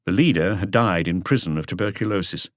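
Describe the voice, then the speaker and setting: A man's voice: sits low, fairly quick, no echo, studio quality. A man reading a crime novel as a scripted audiobook narration.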